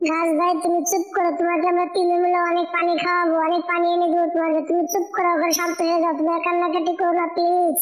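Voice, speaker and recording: A helpful little girl tailorbird from a cartoon acted by a woman. The voice is extremely high and hurried, with a fairly flat melody, and it sounds earnest and caring as it reassures. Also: soft background music. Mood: reassuring. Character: small kind-hearted female tailorbird, caring and helpful.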